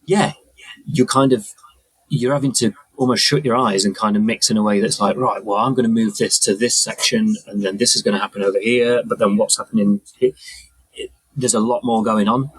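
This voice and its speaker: A man's voice: lowish voice, steady tone, a little fast, slightly rough, poor audio. A man explaining things casually as a guest in a conversation. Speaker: podcast guest, audio mixer.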